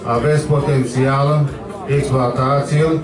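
A middle-aged man delivers a formal speech in a very even, unvaried tone. His voice comes over a PA in an echoey hall, picked up from a distance. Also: mid-range voice, a crowd in the background. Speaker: association president giving thanks. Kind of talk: formal thank-you speech. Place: banquet hall with draped walls. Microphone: handheld mic through PA, heard from camera. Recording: echoey hall from afar, music behind.

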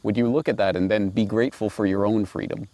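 A man in his forties giving reflective commentary and reading aloud, deliberate and emphatic, recorded outdoors on a lapel mic. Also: on the low side, steady tone, warm tone, no echo. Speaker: host and commentator. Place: outdoors on grass by trees and water.

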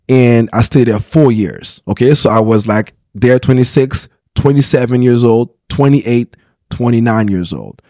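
A man's voice: medium pitch, darkish tone, dry recording. Earnest man in his thirties, dry sound. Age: 30s. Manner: earnest, repeats words and restarts, checks in with "right?" and "okay". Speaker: pastor and podcast guest. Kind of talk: candid personal storytelling. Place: small podcast studio. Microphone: close studio podcast mic.

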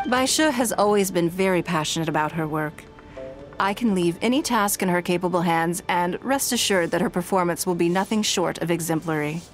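An actress playing a poised, wealthy noblewoman in a game, speaking in a measured, formal and gracious way. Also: on the low side, close to an everyday voice. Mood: reassuring. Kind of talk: game voice acting.

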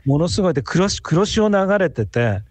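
A man's voice: on the high side, very animated, moderate pace, no echo. A man who is a guest researcher, giving explanatory answers in a radio interview.

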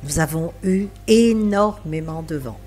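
Slow-spoken woman vlogging her travels. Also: low-pitched, mellow. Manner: unhurried, with hesitant fillers. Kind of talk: travel vlog narration. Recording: faint music in background.

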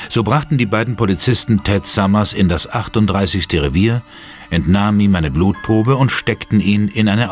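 A man narrating a horror audio drama in a dramatic, scripted style. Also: lowish voice. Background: music.

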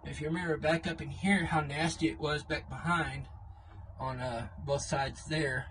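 Older man, relaxed vlog host, slightly high voice, windy outdoor recording. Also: moderate pace, poor audio. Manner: easygoing, repeats "really, really", many "uh" fillers. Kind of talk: casual how-to vlog. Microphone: clip-on mic, camera on tripod and handheld. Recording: wind noise on the mic. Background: wind.

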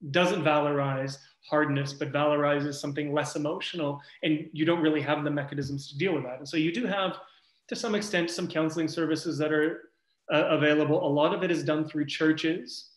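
A man with a slightly high, flat voice talks through his research on a panel. He is thoughtful and loose, often searching for the right words. The sound is roomy and echoey. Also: normal speed. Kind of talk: academic panel discussion. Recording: echoey room.

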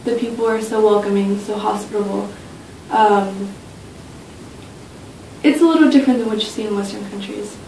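A woman's voice: steady tone, moderate pace, darkish tone. A young woman student casually sharing personal memories in an interview, recorded in a small office. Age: young adult. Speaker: study abroad student. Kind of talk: casual interview testimony, reminiscing. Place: small office meeting room, indoors. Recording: roomy, distant mic, faint low rumble.

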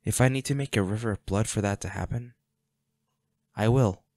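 A man playing a protective, defiant hunter boyfriend in an intimate roleplay audio drama, speaking unhurriedly with sighs and pauses. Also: fairly low pitch, mellow, dry recording, natural voice. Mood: coldly determined. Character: protective, defiant boyfriend, a monster hunter, tender but ruthless.

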